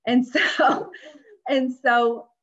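A woman's voice: high voice, little pitch movement, very slow, fairly bright tone. American woman on a dull-sounding video call. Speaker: panelist, mediator. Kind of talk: panel discussion, explaining. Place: indoors, home dining room. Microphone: video call computer microphone.

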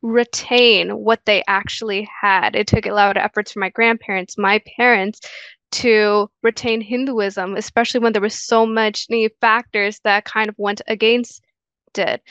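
A young woman talks earnestly through a headset on a video call, stumbling into restarts and fillers as she goes. Her voice sits mid-pitch and sounds dry and a bit dull, with the lo-fi quality of call audio. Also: a bit bright, no echo. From Guyana. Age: young adult. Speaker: interview guest. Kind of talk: casual interview answers. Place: small room indoors.

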